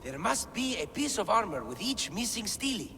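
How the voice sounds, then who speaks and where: A man's voice: high voice, sing-song, moderate pace, sharp tone, long reverb tail, slight background noise, exaggerated voice. An actor playing an eager male scholar deciphering ancient writing, talking about his discoveries with enthusiasm. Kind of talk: game cutscene acting.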